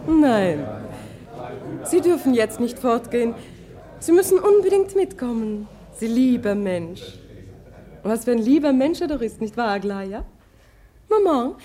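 An actress playing a lively, playful young society daughter in a German radio drama. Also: female voice, on the high side.

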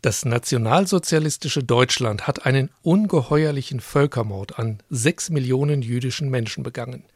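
A man's voice: medium pitch, normal speed, few pauses, dry recording. Dry-sounding male radio host. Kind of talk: scripted radio feature narration and interviewing.

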